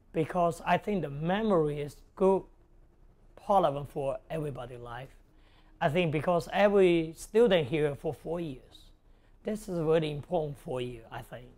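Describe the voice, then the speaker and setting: A middle-aged restaurant owner from Hong Kong with a Cantonese accent, talking casually in halting English in an interview. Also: male voice, on the high side, a little mellow. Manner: halting, non-native English phrasing. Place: indoors in a restaurant booth. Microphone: lapel.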